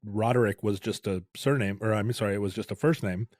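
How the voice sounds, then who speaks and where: A man's voice: on the low side, a little fast, darkish tone, dry recording, studio quality. A man co-hosting a podcast in casual chat, joking and rambling, often correcting himself mid-sentence. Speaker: podcast co-host.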